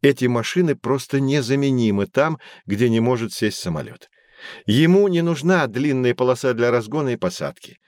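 A man's voice: mid-range voice, animated, normal speed, a little mellow, no echo, studio quality, close to an everyday voice. A man playing a learned, patient guide who explains things to children in formal, didactic phrases in an educational audio drama. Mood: explanatory.

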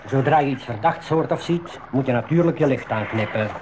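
A man acting as a calm, experienced safari guide who instructs and reassures his group in a radio drama. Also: medium pitch, brisk, noisy background, lightly acted. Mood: calm, instructive.

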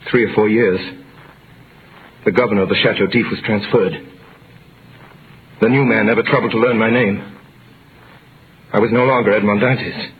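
A man's voice: fairly low pitch, close to an everyday voice. A muffled old radio recording with faint hiss carries a male actor as a wrongly jailed young sailor. He is theatrical and intense, a brooding, desperate man close to madness, telling and living his ordeal. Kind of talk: 1930s-40s radio drama acting and narration.

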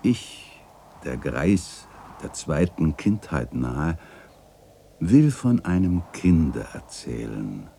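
An actor playing a wistful old chronicler, narrating a literary radio play in a measured, lyrical and contemplative way, with a husky voice. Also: male voice, warm tone, full character voice. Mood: tender. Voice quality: very deep, husky. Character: wistful old male chronicler reminiscing about his beloved alley.

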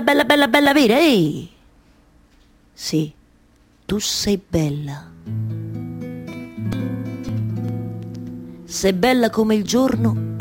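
A woman's voice: medium pitch, a little mellow. Wistful obsessive wife waiting for her hero, roman accent, theatre monologue, takes her time, repeats herself, faint music behind. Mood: tender, wistful. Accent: central Italian, Roman. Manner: incantatory, repeats words and phrases, halting.

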